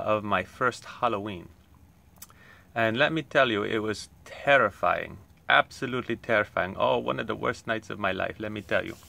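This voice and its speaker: A man tells a comic story. His voice is bright and forceful. He talks in an earnest, rambling way, with many pauses. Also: lowish voice, creaky. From Poland. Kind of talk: comic monologue.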